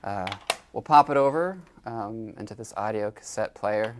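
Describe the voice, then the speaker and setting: A man's voice: on the low side, moderate pace, slight background noise. A man giving a casual, step-by-step equipment tutorial as an instructor, with informal, folksy phrasing. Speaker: instructor demonstrating library equipment.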